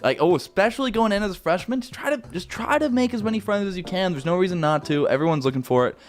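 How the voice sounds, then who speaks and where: A man's voice: sits high, animated, fast, faint music behind. A young man from Canada with a Canadian accent, answering viewer questions in a rambling, laid-back way that keeps correcting itself. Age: young adult. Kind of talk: casual Q&A chat.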